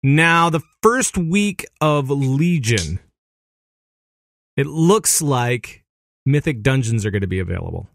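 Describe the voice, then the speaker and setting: A man co-hosting a casual gaming podcast, animated and laughing easily, sometimes making silly sound effects. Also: mid-range voice, slow, vocal fry, no echo, studio quality. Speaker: podcast co-host. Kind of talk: casual chat.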